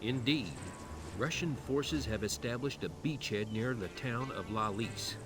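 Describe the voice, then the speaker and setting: An actor playing a weary, wry army commander giving orders and briefings in a video game cutscene. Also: male voice, medium pitch, unhurried, over music, natural voice. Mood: grave. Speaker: military commander.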